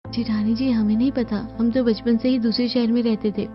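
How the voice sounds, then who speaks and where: A woman's voice: on the high side, level tone, fairly quick, natural voice. Guileless young bride voiced by a woman, greedy for food, whiny, family story, music behind, dull audio. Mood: naive. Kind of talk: dramatized family story voice acting.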